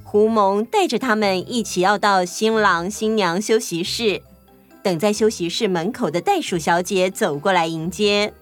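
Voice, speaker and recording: 一位女性为儿童故事做旁白，按稿讲述。. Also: female voice, mid-range voice, normal speed. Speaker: children's story narrator. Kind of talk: scripted children's story narration. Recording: music behind the voice.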